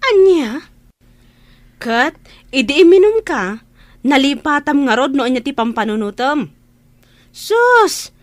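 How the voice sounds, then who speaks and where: A woman plays an exasperated younger sister in a radio drama. Her very high, dry-recorded voice is blunt and reproachful, with an Ilocano accent. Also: big pitch swings, slow, long pauses, dry recording, full character voice.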